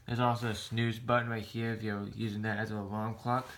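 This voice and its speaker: An American man giving a casual show-and-tell in a halting, rambling, plain-spoken way. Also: lowish voice, flat delivery, a bit bright. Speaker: hobbyist vlogger showing a radio collection.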